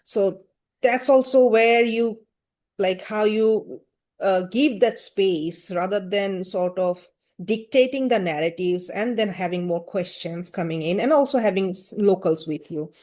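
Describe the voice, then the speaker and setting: Bangladeshi woman, warm mellow tone. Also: medium pitch, measured pace. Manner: thoughtful, many fillers and hedges. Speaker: guest, gender-rights activist. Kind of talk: conversational interview answer.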